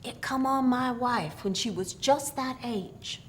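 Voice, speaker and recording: Rustic working man, done by a woman reader: plain-spoken storybook countryman, slow, faint rumble behind. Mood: low, confiding.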